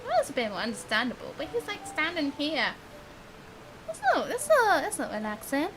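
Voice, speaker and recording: A woman's voice: high voice, huge pitch swings, normal speed, background noise. A woman streaming a game live with casual, chatty commentary and light reactions, game music faintly behind.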